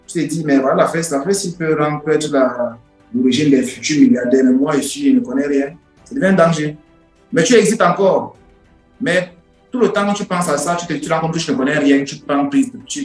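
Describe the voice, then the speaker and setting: A man, an English speaker, giving an informal lecture in an emphatic, repetitive way with rhetorical questions. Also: a little high. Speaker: online course instructor. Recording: roomy echo with music behind.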